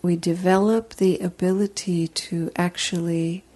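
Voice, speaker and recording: A woman leading a guided meditation as a teacher, speaking in a soothing, gentle, unhurried way with long pauses. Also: fairly low pitch, fairly even intonation.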